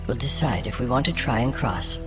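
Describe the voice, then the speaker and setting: A man's voice: mid-range voice, expressive, fairly quick, music in the background, pitched above the performer's own voice, close to an everyday voice. A man voicing a frank, weary woman adventurer in a fantasy audio drama. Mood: questioning.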